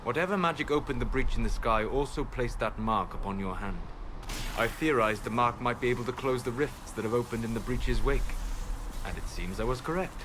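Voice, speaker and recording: A man playing a calm, scholarly wandering mage in a fantasy game, measured and precise. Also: medium pitch, lightly acted. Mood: measured, thoughtful. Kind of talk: dramatic fantasy game acting. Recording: clearly noisy outdoor game ambience.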